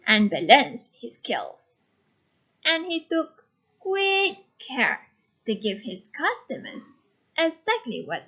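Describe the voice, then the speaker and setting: Female teacher, instructional and expressive, fairly high voice on a muffled lo-fi recording. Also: slightly rough. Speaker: teacher leading a reading class. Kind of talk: instructional lesson with expressive story reading. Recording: dull lo-fi recording.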